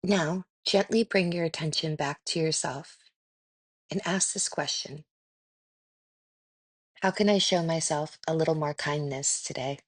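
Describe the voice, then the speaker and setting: A woman leading a guided meditation in a gentle, soothing, unhurried way with pauses. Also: sits low, no echo.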